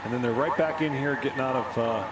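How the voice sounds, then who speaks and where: Male American baseball analyst, medium pitch, explanatory delivery, live booth commentary with very heavy background noise. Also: fast. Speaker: color commentator. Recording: very loud stadium noise behind.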